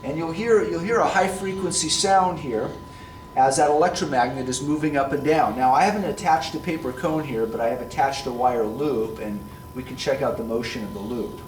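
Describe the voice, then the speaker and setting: A man in his forties explains a science demonstration step by step, like a physics teacher, in a deliberate way. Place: classroom science lab, indoors. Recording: roomy, distant mic, background rumble.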